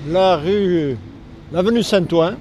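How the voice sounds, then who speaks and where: An older man with a southern French accent from Lozère, a retired air force serviceman speaking earnestly in a street interview, halting when the subject turns painful. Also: sits high, darkish tone, a little hiss or hum. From Lozère, southern France. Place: outdoors on a city street.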